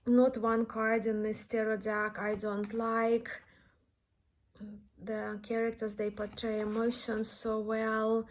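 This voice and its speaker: Slow-paced Eastern European woman, higher voice. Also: completely flat delivery. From Belarus, born in Russia. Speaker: tarot deck reviewer, vlogger. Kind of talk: casual chat to viewers, show-and-tell.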